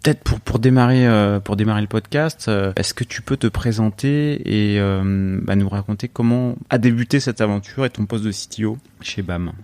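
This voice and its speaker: A man's voice: on the low side, normal speed, hardly any pauses, a little mellow, no echo. A middle-aged man hosting a podcast, asking questions casually with hesitations and repeated words. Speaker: podcast host.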